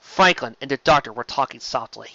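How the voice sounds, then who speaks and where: Bright, forceful male story narrator. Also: mid-range voice, brisk, creaky, dry recording. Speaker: fan fiction reader. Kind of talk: dramatic scripted narration. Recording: slightly dull, close dry mic.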